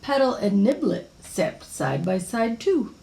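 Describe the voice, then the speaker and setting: Female storybook reader, expressive, highly melodic, touch of creak, roomy sound. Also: measured pace. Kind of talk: expressive children's read-aloud. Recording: echoey room.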